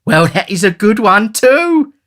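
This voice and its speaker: A man putting on a prim, fluttery workhouse matron from an old storybook, pitched well above his own voice. She simpers and coos, eager to agree. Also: very animated, measured pace, fairly bright tone, exaggerated voice. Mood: simpering. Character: fussy genteel middle-aged woman workhouse matron. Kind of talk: audiobook character voice.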